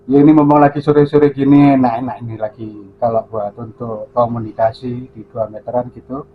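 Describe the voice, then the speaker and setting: A casual man talks over an amateur radio repeater, tossing out call signs and cheerful replies. His mid-pitched voice comes through thin and radio-like, with a faint hum and hiss behind it. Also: level tone, fairly quick, narrow, tinny audio. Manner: casual, chatty, loud calls with radio jargon.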